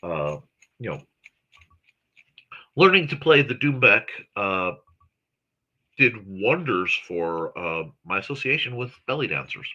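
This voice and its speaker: A man's voice: fairly low pitch, sing-song, slow, pauses often, pushed and bright, muffled recording. A man hosting a casual morning livestream, chatting with viewers in a rambling, chatty way and often correcting himself mid-sentence. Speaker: host of a morning talk stream.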